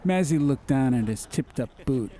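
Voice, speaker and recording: A man's voice: medium pitch, animated, normal speed, mellow, a little hiss or hum. A man narrating a dramatized audiobook story, with music and vehicle sound effects. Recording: music, car and outdoor ambience behind.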